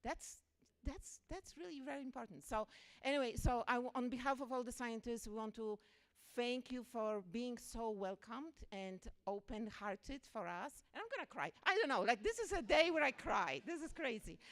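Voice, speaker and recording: Effusive Polish-accented woman with a smooth voice. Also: on the high side, lively intonation, normal speed, a bit bright. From Poland. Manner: emotional and effusive, gushing with enthusiasm. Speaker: physics workshop founder. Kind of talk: warm public welcome speech. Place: lecture event hall.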